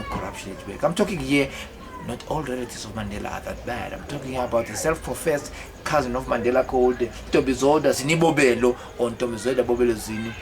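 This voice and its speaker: A South African man in his fifties telling his life story in a rambling way, halting often with fillers and repeated words. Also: mid-range voice. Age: 50s. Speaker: autobiographical vlogger.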